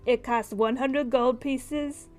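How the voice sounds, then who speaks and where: Woman as game shopkeeper, high-ish voice, haggling, hesitant, drawn-out delivery, faint music behind. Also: steady tone. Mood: eager selling. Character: haggling shopkeeper selling enchantments, bombs and oddities.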